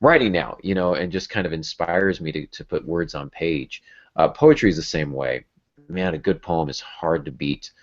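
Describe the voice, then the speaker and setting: Male podcast host, very deep voice, informal and illustrative delivery, slightly muffled lo-fi audio. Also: normal speed. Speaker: podcast host and writing teacher. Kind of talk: casual podcast discussion.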